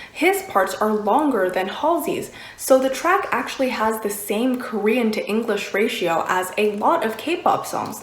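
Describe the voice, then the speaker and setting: A woman giving an explanatory video essay commentary in a conversational, measured way. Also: on the high side. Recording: roomy, echoing voice recording.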